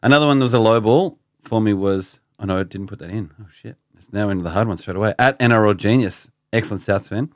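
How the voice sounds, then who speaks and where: A man's voice: lowish voice, fast talker, no echo, studio quality. A man with an Australian accent co-hosting a podcast in casual chat, joking and self-mocking. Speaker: podcast co-host.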